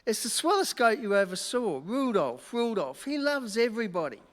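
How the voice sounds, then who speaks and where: An actor playing a boozy, wheedling New York newspaper reporter in a 1940s radio play, halting and coaxing. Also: mid-range voice, very animated, close to an everyday voice. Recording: echoey hall, music behind.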